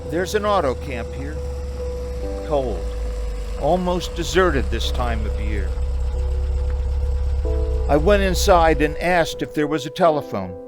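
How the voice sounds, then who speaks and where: A woman playing a frightened, haunted man driving alone in a radio play, halting and repeating words under strain. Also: sits low, expressive, music in the background. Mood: desperate, weary. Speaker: community theater actor.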